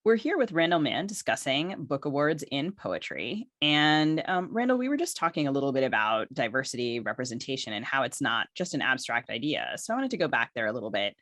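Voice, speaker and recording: A woman's voice: very deep voice, sing-song, fairly quick, hardly any pauses, a bit bright, dry recording, studio quality. A woman co-hosting a podcast, setting up prepared questions for a guest in an interview style. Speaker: podcast co-host.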